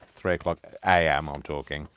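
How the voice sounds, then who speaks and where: A man hosting a live crafting stream, chatting casually and poking fun at himself, tired and clearing his throat. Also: a bass voice, fairly even intonation, normal speed, fairly bright tone, slight background noise. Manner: chatty, self-deprecating, talks to himself. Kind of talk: rambling casual chat while crafting.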